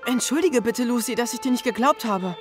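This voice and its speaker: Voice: medium pitch, expressive, a little fast, over music, close to an everyday voice. A young performer playing a responsible, fair-minded boy, the eldest brother, in an audio drama. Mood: calm.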